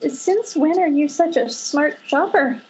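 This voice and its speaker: A woman's voice: high voice, animated, unhurried, a little mellow, highs cut off. A woman playing an anxious, guilt-ridden young mother in an earnest amateur script read over a noisy internet call. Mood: gentle, wry. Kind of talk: amateur screenplay table read.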